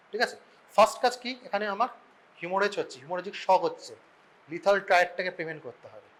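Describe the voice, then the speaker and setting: A male medical lecturer with a Bengali Indian accent and a notably high voice. He speaks quickly in an informal classroom style, posing questions, answering them himself and checking that everyone follows. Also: a bit bright, low-quality recording. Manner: explains through questions and answers, repeatedly checking understanding.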